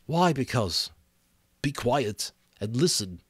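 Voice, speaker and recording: A man's voice: mid-range voice, very animated, slow, breathy edge, dry recording, pitched above the performer's own voice, full character voice. A man voicing a weary young boy leader, terse and bossy, in a dramatic audiobook reading. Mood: wary, irritated.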